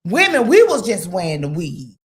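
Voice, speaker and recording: A woman in a casual talk-radio discussion, rambling and emphatic, full of "you know" and sudden jumps in pitch. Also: huge pitch swings, moderate pace.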